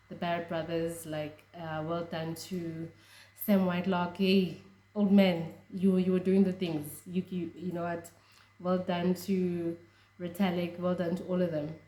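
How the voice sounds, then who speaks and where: A young South African woman whose first language is Tswana, chatting excitedly about rugby with frequent laughs and restarts. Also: on the low side, echoey room. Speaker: rugby fan vlogger. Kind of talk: casual reaction chat.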